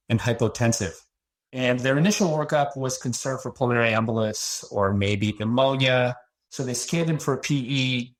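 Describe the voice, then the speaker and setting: A male cardiology fellow walks listeners through a patient's history step by step. His voice sits in the middle range and his delivery is calm and measured. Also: medium pitch. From Los Angeles, USA. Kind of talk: clinical case presentation.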